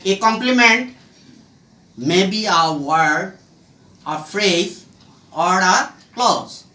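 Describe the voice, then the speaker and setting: A teacher giving an English grammar lesson in a halting, deliberate way, in short fragments. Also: medium pitch, expressive, bright, forceful tone. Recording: echoey room, distant mic, faint noise.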